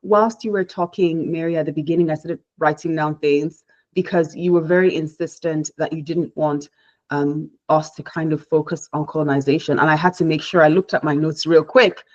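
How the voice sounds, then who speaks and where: Woman from Nigeria, Igbo first language, low voice, measured panel talk, restarts sentences, muffled sound. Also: fairly even intonation, a little mellow. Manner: measured, reflective, with frequent restarts. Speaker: panel speaker, researcher.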